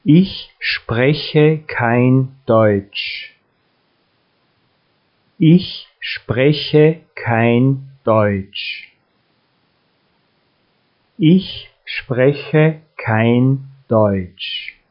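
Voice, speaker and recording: Male language teacher at a mid pitch, extremely slow and evenly spaced, with slightly dull, lo-fi audio. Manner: slow, deliberate, evenly spaced phrases with long pauses. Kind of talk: listen-and-repeat drill.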